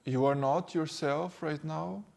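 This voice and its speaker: A man from Brazil with a Brazilian Portuguese accent, speaking English as a second language and giving a calm spiritual talk as a teacher, slow and gentle with pauses. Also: fairly high pitch, vocal fry. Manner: slow, gentle, with pauses and non-native phrasing. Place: sitting indoors.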